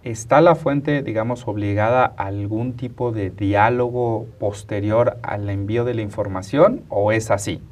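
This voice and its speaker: A man's voice: fairly low pitch, unhurried, few pauses, a little mellow, far from the mic. A man, interviewing conversationally as a studio co-host. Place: TV studio, indoors, round table.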